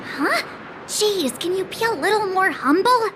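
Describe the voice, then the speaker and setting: A woman's voice: very high-pitched, unhurried, noisy background. A woman voicing a chatty, cheerful, teasing teenage girl traveler in a video game cutscene. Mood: flustered, lively. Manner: chatty, expressive, playful. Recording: game music behind the voice.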